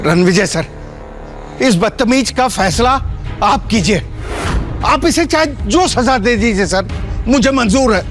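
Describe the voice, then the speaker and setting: A middle-aged business magnate in an audio drama, his voice pitched high and strained with desperation. He is a hot-tempered, pleading father, with music clearly playing behind him. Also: expressive, slow pace, pauses often, heavily acted. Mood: anguished deference. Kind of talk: Hindi audio drama acting.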